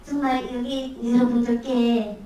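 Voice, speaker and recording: A woman's voice: fairly high pitch, little pitch movement, racing, dark tone. A woman from North Korea, the guest speaker at a Q&A, speaking firmly and emphatically. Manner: emphatic, firm. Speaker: guest speaker, former nurse. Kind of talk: speaking Korean at a Q&A through an interpreter. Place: university lecture hall. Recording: echoey hall from far off.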